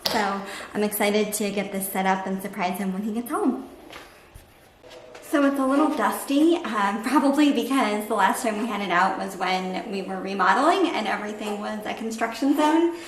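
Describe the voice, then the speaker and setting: A woman's voice: medium pitch, animated, normal speed. A woman chatting casually as host of a home cooking vlog while she demonstrates things. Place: indoors at home, kitchen. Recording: echoey room with background noise.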